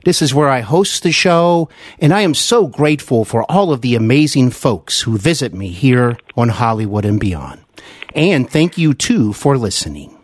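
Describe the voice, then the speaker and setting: An American man hosting a podcast, reading an introduction in an effusive, deliberately phrased way. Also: mid-range voice, very animated, dry recording. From United States. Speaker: podcast host.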